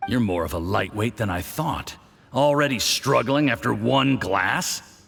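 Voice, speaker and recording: An actor playing a boisterous, goading drinker with a hearty, taunting manner and a menacing edge, in a video game. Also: male voice, mid-range voice, animated, unhurried, creaky, fairly bright tone, in a large hall, slight background noise. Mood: mocking.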